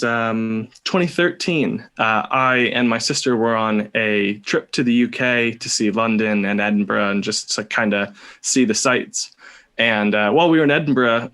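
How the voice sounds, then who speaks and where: Male podcast guest, Californian accent, medium pitch, informal anecdotal delivery with hesitant, wandering phrasing. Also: fairly bright tone. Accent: Californian American. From California, United States. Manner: hesitant, rambling, with many pauses. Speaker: podcast guest telling a personal story. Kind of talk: casual anecdotal storytelling.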